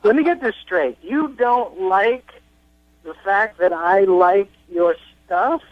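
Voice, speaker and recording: A man's voice: sits high, slow, dry recording. A man calling in to a radio show, talking casually in an earnest, puzzled and defensive way. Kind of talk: casual conversation. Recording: dull, dry telephone line.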